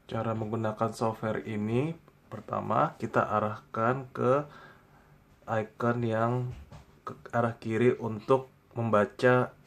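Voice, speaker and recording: An Indonesian man presenting a step-by-step software tutorial in a plain, instructional way, pausing between short phrases. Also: lowish voice, steady tone, creaky, a little hiss or hum.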